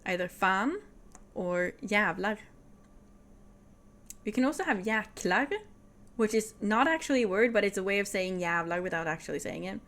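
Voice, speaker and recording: A woman's voice: mid-range voice, animated, moderate pace, pauses often, a bit bright. A woman with a Swedish accent, Swedish being her first language, streaming a puzzle game and thinking aloud, often dropping to a whisper. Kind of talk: casual puzzle game livestream.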